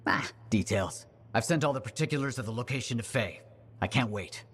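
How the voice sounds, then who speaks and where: An actor playing an excitable, breezy inventor and overconfident schemer in a video game, with a husky voice. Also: male voice, medium pitch, a little fast. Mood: eager, confident. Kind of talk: video game cutscene dialogue.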